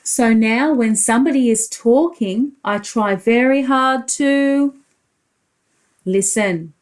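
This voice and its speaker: A woman reads a picture book to young children in the manner of a preschool teacher. Her voice sits a little high and moves very slowly. She explains things simply and keeps checking in with easy questions.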